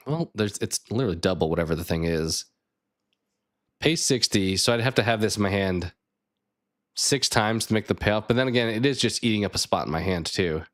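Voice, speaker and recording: A man streaming a card game live, thinking aloud and chatting with viewers, his voice a bit raspy. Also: on the low side. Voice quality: slightly raspy. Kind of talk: casual live gameplay chat.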